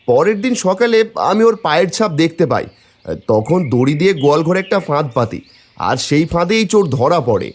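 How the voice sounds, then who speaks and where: Man as a lively, shrewd cartoon villager. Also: fairly high pitch. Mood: earnest. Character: shrewd, watchful village man, a folksy farmer. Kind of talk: cartoon voice acting.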